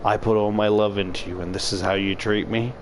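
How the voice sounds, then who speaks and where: A man plays a young girlfriend from a visual novel, devoted and possessive. The voice sits low and speaks in earnest, romantic declarations. Faint noise lies behind it. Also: level tone, brisk, natural voice. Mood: possessive, hurt.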